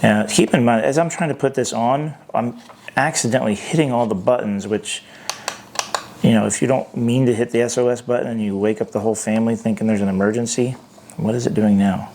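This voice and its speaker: Gravelly-voiced man reviewing a product. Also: medium pitch, steady tone, a little fast, a little mellow, slight background noise. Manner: hesitant, thinking aloud. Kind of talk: unscripted hands-on product review.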